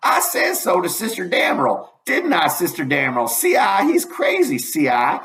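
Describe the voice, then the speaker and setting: A man voicing a shrill, gossiping old farm woman who insists on repeating herself. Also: huge pitch swings, normal speed, fairly bright tone, reverberant, exaggerated voice. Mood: indignant.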